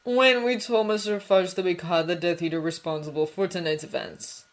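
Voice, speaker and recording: A woman reading a story voices a cold, stern male professor with a low, chilly delivery. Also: steady tone, normal speed, lightly acted.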